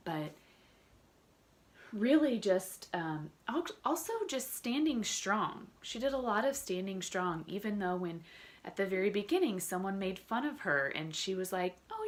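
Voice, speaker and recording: A woman's voice: fairly low pitch, fairly bright tone. A woman reading a children's story aloud with dramatic emphasis, adding casual comments for young listeners. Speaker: teacher reading a picture book aloud.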